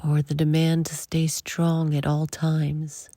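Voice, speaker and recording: A woman leading a guided meditation in soft, breathy, short phrases with long pauses, often trailing toward a whisper. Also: low voice, monotone, dry recording, clean studio sound.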